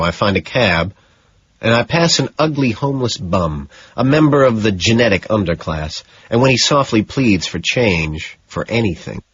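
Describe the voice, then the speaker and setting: A man's voice: lowish voice, fairly bright tone, breathy edge. A man narrating a novel in the first person as a scripted audiobook. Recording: dull, echoey room sound.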